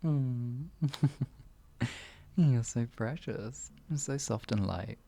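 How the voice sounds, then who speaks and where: A man playing a slow, teasing sadistic wizard. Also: mid-range voice, gravelly, dark tone, exaggerated voice. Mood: mocking. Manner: teasing, cooing, drifts into whispers. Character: sadistic male wizard, cruel and doting. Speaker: voice actor. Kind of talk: ASMR fantasy roleplay.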